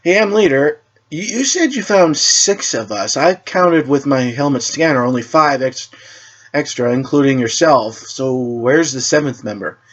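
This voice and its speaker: A man's voice: mid-range voice, expressive, a little slow, a bit bright, muffled recording, voice pushed up. A man voicing a curious young armored hero in a superhero story, with a hesitant, questioning manner. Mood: puzzled.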